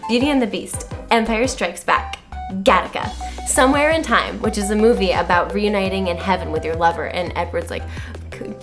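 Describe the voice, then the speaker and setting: A woman's voice: mid-range voice, moderate pace, music in the background. A woman co-hosting a podcast, recapping a book in a humorous chat with wry, mock-exasperated commentary. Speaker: podcast co-host.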